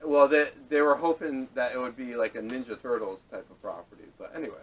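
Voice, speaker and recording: A man's voice: steady tone, fast, fairly bright tone. A man hosting a casual group chat about movies and games, recorded poorly on a camera microphone. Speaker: podcast host leading the topics. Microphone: camera microphone at a distance. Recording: distant camera microphone, noisy, rumbling.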